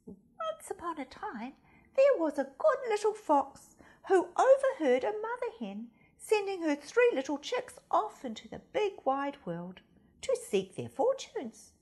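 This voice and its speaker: A woman storyteller reading a children's storybook expressively, with theatrical, deliberate phrasing. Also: extremely high pitch, very animated, a little mellow. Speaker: storyteller, primary school reader.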